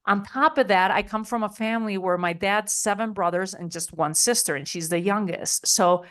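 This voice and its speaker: A woman's voice: mid-range voice, moderate pace, a bit bright. A middle-aged woman from Spain, a podcast guest talking in a chatty way with many "like" and "you know" fillers. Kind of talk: interview conversation.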